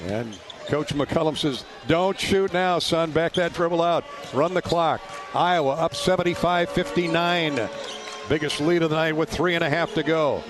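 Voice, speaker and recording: A man doing live radio basketball play-by-play in clipped, punchy, excited phrases from an arena. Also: fairly high pitch, moderate pace.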